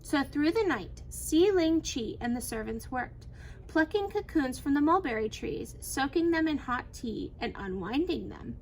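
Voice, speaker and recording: A woman reading a children's storybook aloud in an expressive, animated storytelling manner. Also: sits high, unhurried, slight background noise, rough recording. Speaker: teacher reading a picture book aloud.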